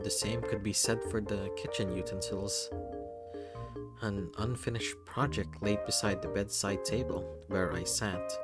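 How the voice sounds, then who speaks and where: A narrator reading a first-person visual novel story in a measured, unhurried way. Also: sits low. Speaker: narrator and game reader.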